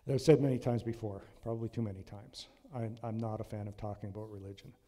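A man's voice: on the low side, fairly even intonation, dark tone. Older man preaching in a reverberant church. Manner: earnest, measured, many restarts and ums. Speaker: lay preacher. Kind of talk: sermon. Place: church sanctuary. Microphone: lectern mic. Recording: long hall reverb in a church.

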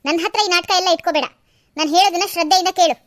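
A woman's voice: extremely high pitch, racing, no room sound, clean studio sound. A woman voicing a stern middle-aged mother-in-law who nags and scolds, in an audio drama. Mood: scolding. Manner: nagging, sharp scolding.

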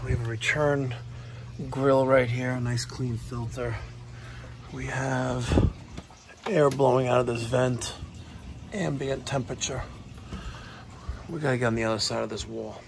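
A man's voice: mid-range voice, steady tone, unhurried, gravelly, long pauses. Chatty tradesman vlogging a repair. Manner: casual, chatty, talks to viewers while working. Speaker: HVAC technician and plumber. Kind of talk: first-person service call vlog. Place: outdoors beside AC units at a large house. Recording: background AC and outdoor noise.

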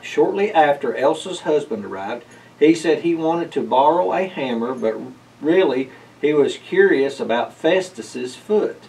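A man's voice: a little high, expressive, unhurried, a little mellow. Middle-aged man reading aloud, slightly distant. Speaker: storybook reader. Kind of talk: read-aloud children's story narration. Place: indoors, library with bookshelves. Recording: slightly distant mic, faint room noise.

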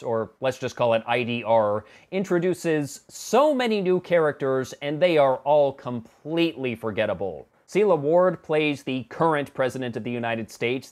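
A man in his thirties giving a conversational movie review to camera in a sarcastic, exasperated manner. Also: on the high side. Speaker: film review vlogger. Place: small home office room indoors.

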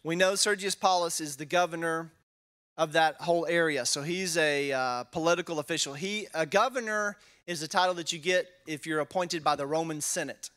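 A Texan pastor in his forties talks through scripture like a chat with friends, tossing in "right?" and little fillers as he thinks. His voice sits a bit high for a man. He speaks into a handheld mic in a wooden church hall. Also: fairly quick, sharp tone. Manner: casual, tags statements with "right?", thinks aloud with fillers. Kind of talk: conversational Bible teaching. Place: wood-paneled church hall, indoors. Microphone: handheld microphone.

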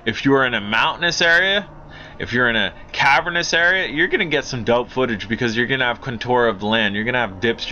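An American man giving casual, enthusiastic drone review commentary in an informal way, repeating himself for emphasis. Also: mid-range voice. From Pennsylvania, United States. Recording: dull lo-fi audio with faint noise.

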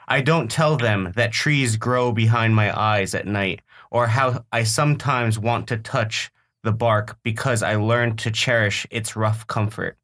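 A man with a slight sniffle, chatting and then reading a poem in a calm, measured way. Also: fairly low pitch. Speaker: panel member reading a poem. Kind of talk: casual chat and poetry reading.

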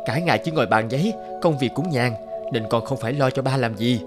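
A man voicing a devoted, hardworking young father in a cartoon, speaking gently and tenderly. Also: medium pitch, fairly quick, loud music behind the voice, natural voice.

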